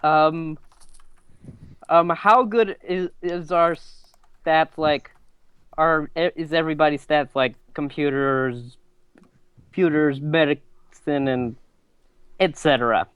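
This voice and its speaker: A man playing a tabletop game, chatting casually in a halting way and repeating his words. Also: on the high side, fairly even intonation, smooth, no echo, lo-fi sound.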